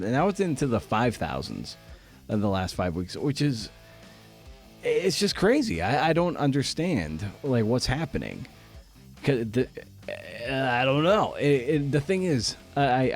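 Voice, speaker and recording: A man hosting a podcast in a casual, confessional monologue, halting and self-deprecating, with casual swearing. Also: fairly low pitch, darkish tone, dry recording, studio quality. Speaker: podcast host. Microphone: close podcast microphone.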